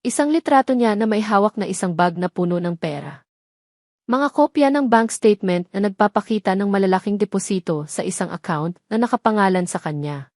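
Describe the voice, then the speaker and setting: A woman narrating a scripted romantic drama as an audio storyteller, with deliberate, emotive delivery. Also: medium pitch, darkish tone, no room sound, clean studio sound.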